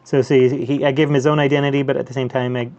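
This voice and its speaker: A man's voice: mid-range voice, flat delivery, fast talker, a little mellow. Rambling man, dull stream audio. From North America, US and Canada. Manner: loose, rambling, many fillers and restarts. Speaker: handheld gaming streamer, host. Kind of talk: casual live stream chat over gameplay.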